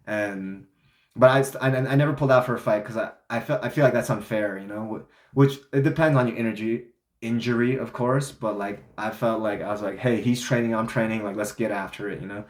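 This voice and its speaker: A man's voice: fairly low pitch, a little fast, reverberant. A young man telling a story in a casual, rambling way, full of fillers and restarts, sounding congested. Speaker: vlogger.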